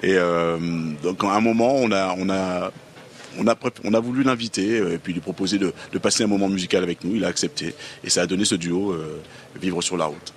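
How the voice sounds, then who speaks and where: A low-voiced man speaks thoughtfully as a musician guest, pausing and restarting often. There is a faint hiss of background noise behind him. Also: steady tone. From France, near Paris. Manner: reflective, hesitant with many fillers. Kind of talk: casual interview answers.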